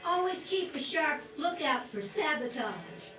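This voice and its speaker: A woman playing an earnest, helpful wartime working woman in a 1940s-set radio play, speaking deliberately. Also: sits high, sing-song. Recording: echoey room, distant mic, music behind.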